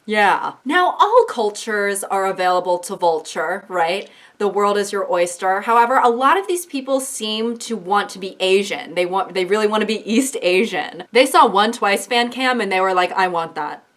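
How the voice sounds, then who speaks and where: Sarcastic woman doing casual vlog commentary, mid-pitched and chatty. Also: expressive, normal speed, hardly any pauses, fairly bright tone. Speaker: YouTuber.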